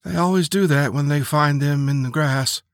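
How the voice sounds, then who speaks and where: A man voicing a gentle, affectionate, teasing woman of the Old West in an audiobook. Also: fairly high pitch, normal speed, no room sound, studio quality, voice pushed up, close to an everyday voice.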